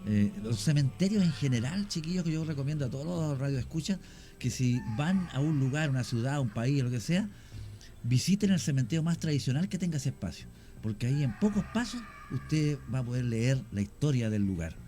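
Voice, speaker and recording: A man with a Chilean accent, a guest on a radio show, talking in a measured way with many hesitations and fillers. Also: medium pitch, animated, dark tone, faint music behind. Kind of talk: casual radio interview.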